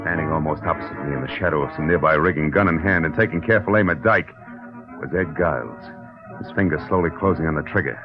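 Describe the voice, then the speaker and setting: An actor playing a tough, wisecracking private detective and narrator in a 1940s radio drama, sardonic and clipped. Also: male voice, very deep voice, fast, music in the background. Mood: tense narrating. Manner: hard-boiled, sardonic, clipped. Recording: dull old radio recording.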